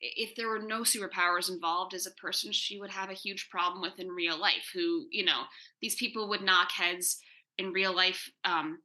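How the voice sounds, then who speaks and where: A woman speaking conversationally as a writer on a panel, thinking aloud haltingly and often repeating words. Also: lowish voice, fairly even intonation, pushed and bright, low-quality recording. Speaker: comic book writer answering questions in a panel. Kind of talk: casual, conversational panel discussion.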